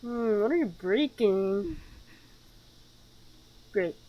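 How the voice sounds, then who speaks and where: A woman game streamer giving playthrough commentary and reading game text aloud, with expressive, sarcastic reactions. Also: medium pitch, extremely slow, dull audio.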